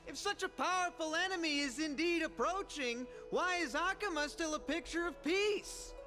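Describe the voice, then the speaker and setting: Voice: extremely high pitch, normal speed, pushed and bright, faint music behind. An actor playing an indignant, sceptical citizen in a theatrical video game scene.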